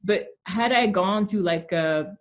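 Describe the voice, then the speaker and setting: Podcast host: woman, middle-aged, Belgian accent, medium pitch, casual and animated conversational delivery with plenty of filler words. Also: slow pace. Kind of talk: casual interview chat, sharing own experience.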